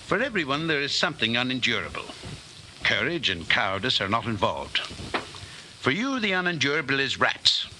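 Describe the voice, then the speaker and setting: An actor playing a cold, controlling party inquisitor in a 1940s radio drama, commanding, deliberate and menacingly calm. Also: male voice, mid-range voice. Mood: calm menace. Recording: noisy old 1940s radio broadcast.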